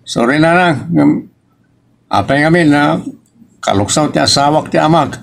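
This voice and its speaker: Man acting as aggrieved young husband, creaky, raised voice. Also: a little high, animated, normal speed. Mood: troubled. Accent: Ilocos. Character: aggrieved married son confronting his parents. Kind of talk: Ilocano audio drama acting.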